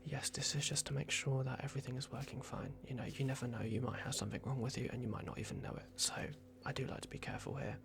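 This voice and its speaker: A man voicing a playful, fussy vampire doctor in an ASMR roleplay, murmuring halting reassurances in a breathy whisper. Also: mid-range voice, level tone, noisy background, natural voice. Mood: gently attentive. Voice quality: breathy whisper with gravelly creak.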